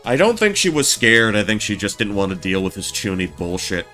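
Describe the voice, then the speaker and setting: Low-voiced, rambling male streamer. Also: sing-song, fairly quick, sharp tone. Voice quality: naturally low voice. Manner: rambling, stammering restarts. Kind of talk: casual let's-play commentary. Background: game music.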